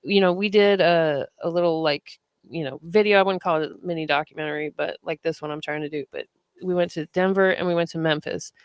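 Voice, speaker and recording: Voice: medium pitch, steady tone, a little fast, smooth, no echo, studio quality. A podcast host interviewing a guest in a chatty, upbeat way, often filling with "like".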